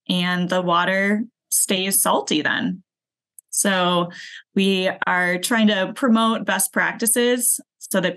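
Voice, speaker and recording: A woman's voice: fairly low pitch, fairly bright tone. Chatty woman explaining her work. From northern Wisconsin. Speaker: program manager, podcast guest. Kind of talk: casual interview chat.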